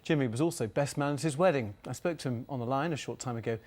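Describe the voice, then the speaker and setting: A male news anchor reads and links reports in a formal broadcast style. His voice is of average pitch, recorded in a studio. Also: fast talker, darkish tone.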